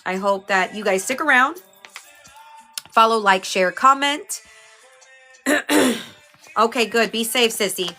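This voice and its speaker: A woman's voice: a little high, takes it slowly, long pauses, pushed and bright, soft background music. A woman from Houston, chatting casually as a livestream host, greeting viewers by name and sounding a little stuffy from a cold. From Houston, Texas.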